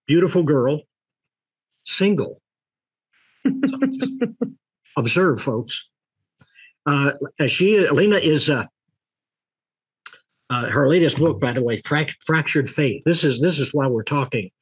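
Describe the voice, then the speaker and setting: Older man hosting, slightly husky voice. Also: a little high, animated, slow, long pauses, rough recording. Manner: rambling, folksy, with many restarts and fillers. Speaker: host. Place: office with a leather chair.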